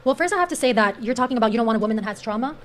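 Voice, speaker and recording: A woman's voice: fairly high pitch, lively intonation, very fast. A woman with a Cuban accent speaking earnestly as a podcast panel guest, with plenty of "like" and "um.". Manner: earnest, uses fillers like "like" and "um". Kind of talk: panel discussion.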